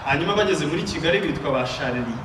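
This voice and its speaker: A man's voice: fairly high pitch, expressive, racing. Rwandan guy preaching, emphatic call and response, far off mic with lots of noise. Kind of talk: sermon with interpreter. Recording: echoey room, picked up from afar, noisy.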